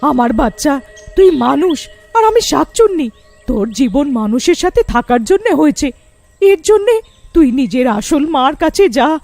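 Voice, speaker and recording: Lady doing a high creaky cartoon witch, fierce bitter mom type, very dramatic. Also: very animated, unhurried, darkish tone, faint music behind, full character voice. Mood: sorrowful. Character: female serpent witch, fierce, bitter, protective mother. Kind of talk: cartoon horror story acting.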